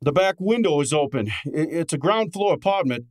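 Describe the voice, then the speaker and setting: An actor playing a brash, wisecracking detective's assistant in an old-time radio drama, exasperated and sardonic. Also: male voice, a little high, lively intonation, a little fast, dry recording, clean studio sound, lightly acted. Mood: matter-of-fact. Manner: exasperated, sardonic, hard-boiled.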